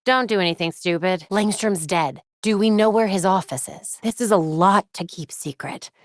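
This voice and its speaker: A woman's voice: mid-range voice, moderate pace, no echo, natural voice. A woman playing a young American vigilante detective in a video game, earnest and tech-savvy, with a dry, conversational manner. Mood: earnest, focused.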